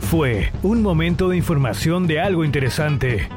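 A man's voice: fairly high pitch, lively intonation, moderate pace, over loud music. A man reading a short scripted radio segment ident.

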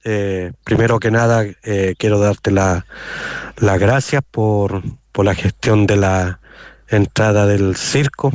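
A man leaving a recorded thank-you message for a radio host, halting and full of fillers. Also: on the low side, darkish tone, no room sound. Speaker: listener sending a voice message.

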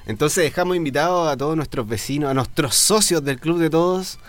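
A man hosting a local broadcast interview, asking brisk, chatty questions. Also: fairly high pitch. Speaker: interviewer, host.

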